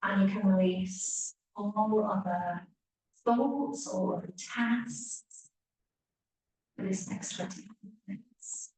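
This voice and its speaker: A woman teacher leading a guided relaxation meditation, speaking gently with long pauses and hushed phrase endings. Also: medium pitch. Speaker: yoga nidra teacher. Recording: echoey room, distant mic, faint hiss.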